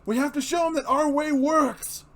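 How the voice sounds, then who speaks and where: A man's voice: extremely high pitch, moderate pace, fairly bright tone, voice pushed up. A man playing a shaken but principled police commissioner in a comic book dramatic reading, anguished and insistent.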